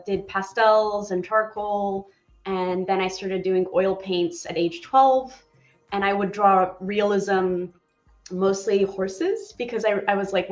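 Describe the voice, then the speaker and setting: A woman in her forties from Poland talks in a lively, chatty way as an interview guest. Her voice sits at an ordinary pitch, and the sound is roomy and a little muffled. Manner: chatty and animated, with laughs and many fillers. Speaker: animator guest. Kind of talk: casual interview chat.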